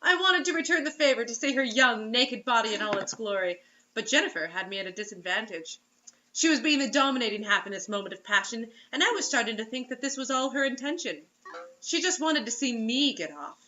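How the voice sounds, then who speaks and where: Bright-voiced woman reading aloud theatrically. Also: a little high, animated, a little fast, dull audio, a little hiss or hum. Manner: theatrical, giggly. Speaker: amateur reader of bad fiction. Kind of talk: mocking dramatic read-aloud with asides.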